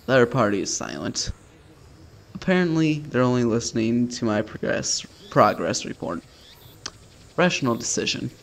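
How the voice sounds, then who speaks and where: A man's voice: medium pitch, creaky, slight background noise. A man hosting a gaming video, reading a visual novel aloud casually and stumbling now and then with sweary, self-deprecating asides.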